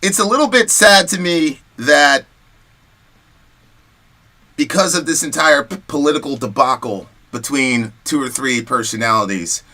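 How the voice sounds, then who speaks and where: A man giving casual, slangy commentary and telling personal stories in an animated, conversational way, as the host of a show. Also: mid-range voice.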